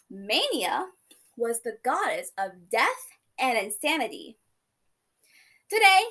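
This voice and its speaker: A young woman giving a class speech, telling stories in a playful, casual way. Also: high voice. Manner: lively, playful storytelling with casual asides. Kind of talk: informative speech.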